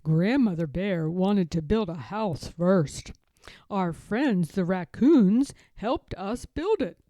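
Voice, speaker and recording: A woman voicing a gentle old grandfather bear from a children's storybook, speaking fondly and with emphasis. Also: mid-range voice, animated, takes it slowly, no echo, studio quality. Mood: fond, steady.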